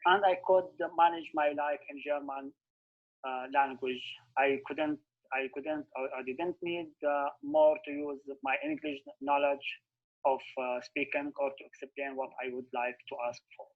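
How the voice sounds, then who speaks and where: A man speaking English as a second language, telling his story in a webinar interview, halting and thoughtful with frequent restarts. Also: fairly high pitch, animated. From Syria. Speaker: refugee guest. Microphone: video call. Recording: tinny video-call audio.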